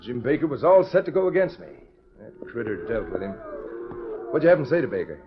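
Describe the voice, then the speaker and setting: A man's voice: medium pitch, sing-song, brisk, noisy background, close to an everyday voice. Man playing a swooping, folksy western schemer. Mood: sly, pleased. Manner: rustic western drawl. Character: folksy, opportunistic cowhand partner in a shady deal. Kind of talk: 1940s radio western acting. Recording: dull lo-fi 1940s radio recording.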